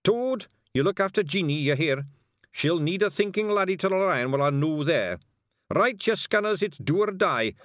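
A man voicing a small rowdy male Feegle chief with a gruff Scottish accent, barking orders. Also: high-pitched, lively intonation, normal speed, no echo, studio quality, pitched above the performer's own voice. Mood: commanding.

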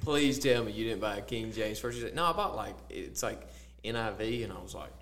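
A man's voice: on the low side, fairly quick. Man telling stories with a faint hum behind. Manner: storytelling, animated quotes, filler-heavy. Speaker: podcast co-host. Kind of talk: casual faith podcast chat.

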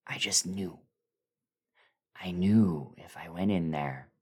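A man voicing a terrified, injured man who is breaking down, halting and anguished, in a breathy, whispering voice for a horror story reading. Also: sits low, full character voice. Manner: halting, anguished, drawn-out delivery.

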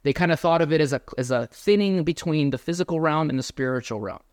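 A man's voice: on the high side, expressive, fast talker, a little mellow, no room sound, studio quality. Man preaching an earnest sermon. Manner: earnest, emphatic, addresses the congregation directly. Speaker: pastor.

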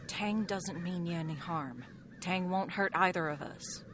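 A woman playing a calm, steely heroine in a western radio drama, speaking in a measured way. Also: on the low side, slight background noise. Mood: calm, assured. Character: calm, steely slight young heroine with a shotgun.

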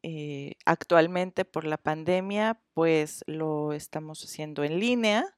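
Low, slow Mexican woman in her forties. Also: dry recording, studio quality. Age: 40s. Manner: halting, with many hesitations. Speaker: therapist guest. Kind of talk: interview conversation.

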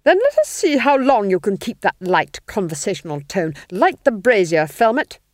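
A woman voicing an imperious, sadistic duchess in a storybook, haughty, drawn-out and menacing. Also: a little high, huge pitch swings, no room sound, studio quality, pitched above the performer's own voice, exaggerated voice. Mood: gloating menace.

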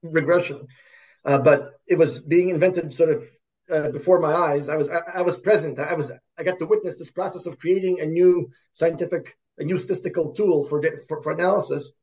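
A native Russian-speaking man talks as an academic podcast guest in a creaky, fairly high voice. He answers conversationally, often doubling back on his words. The recording is dull and lo-fi. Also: darkish tone. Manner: halting, repeats words and restarts often. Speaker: podcast guest, computer science professor.

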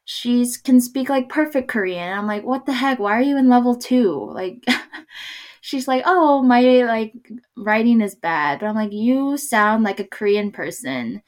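A woman talking in a relaxed conversation, hesitating and restarting often, with little laughs between thoughts. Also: fairly high pitch, unhurried. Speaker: podcast guest. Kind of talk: casual conversation.

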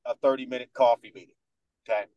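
A man in his thirties with a Dominican American accent, speaking as a podcast guest in a confident, animated way. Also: medium pitch, fast talker, no room sound, dull audio. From United States, New York. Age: 30s. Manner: confident, animated storyteller who acts out other people's lines. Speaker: guest, sports radio host. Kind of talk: casual podcast interview.

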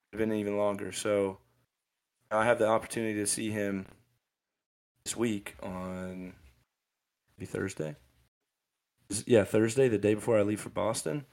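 A man's voice: lowish voice, gravelly, a little mellow. A man in his twenties co-hosting a casual podcast, giving rambling motivational advice with lots of restarts and self-corrections. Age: 20s. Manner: rambling, ranting, with frequent restarts. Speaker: podcast co-host.

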